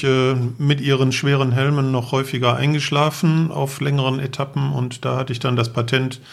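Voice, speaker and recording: A man's voice: mid-range voice, vocal fry. A middle-aged man casually telling anecdotes as a podcast guest. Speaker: podcast guest, travelling father.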